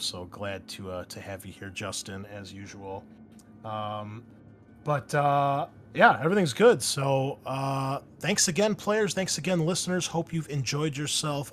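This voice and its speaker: A man hosting as game master, explaining things casually and informally with a halting delivery. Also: mid-range voice. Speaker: game master and podcast host. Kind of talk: casual explanation and chat on an actual-play podcast. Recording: faint background noise.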